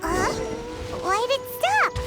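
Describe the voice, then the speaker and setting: A tiny childlike game sidekick, eager and excitable. The voice is extremely high and squeaky, swinging wildly in pitch. Loud music runs behind it. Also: female voice, slow, exaggerated voice. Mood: curious. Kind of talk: video game voice acting.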